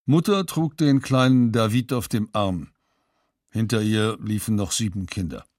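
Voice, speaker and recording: A man's voice: on the low side, unhurried, a little mellow, dry recording, clean studio sound, close to an everyday voice. An actor playing a sober male survivor recounting his family's fate in a documentary radio drama. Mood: sober recollection.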